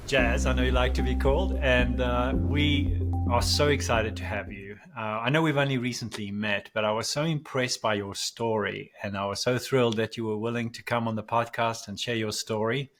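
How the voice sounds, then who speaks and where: A man hosting a faith podcast who welcomes his guest with enthusiasm and asks rambling, halting questions. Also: mid-range voice, steady tone, faint music behind. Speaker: podcast host. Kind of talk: casual interview hosting.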